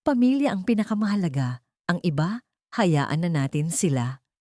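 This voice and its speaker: Woman voicing a low, protective indignant husband. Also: big pitch swings, moderate pace, warm tone, no echo, clean studio sound. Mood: firm, consoling. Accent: Filipino. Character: protective, indignant husband, a working-class father.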